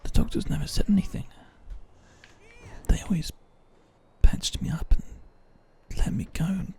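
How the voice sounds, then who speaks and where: A man narrating gameplay in a soft, slow, musing ASMR whisper. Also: sits high, fairly even intonation, breathy edge.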